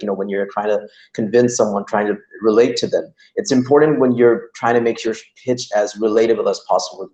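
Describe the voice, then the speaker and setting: A man's voice: on the low side, a little mellow, roomy sound, rough recording. A young man from Iran giving an online training talk, chatty and full of "you know" and "like". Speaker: workshop presenter. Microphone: video call.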